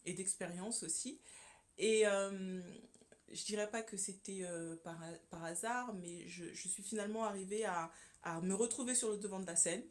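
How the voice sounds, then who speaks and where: A woman's voice: mid-range voice, normal speed. A woman from Congo, a communication consultant, speaking as a guest on a radio interview, earnest and full of hesitations and repeated words. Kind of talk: radio interview, personal testimony. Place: radio studio.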